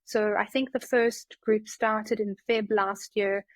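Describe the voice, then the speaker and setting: A woman's voice: mid-range voice, steady tone, slow pace, no echo. South African woman, chatty and dry-sounding. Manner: chatty, many fillers and restarts. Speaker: guest doctor. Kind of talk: casual interview talk.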